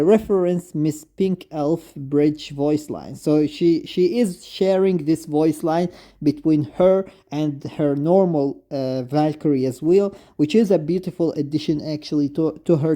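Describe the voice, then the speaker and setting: A man with a fairly high-pitched but very soft, dark tone gives off-the-cuff commentary as a video game fan. He is a non-native English speaker, effusive in his praise, and he often halts and repeats himself. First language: not English. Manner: effusive, halting, repetitive. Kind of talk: unscripted fan commentary, reading lines aloud.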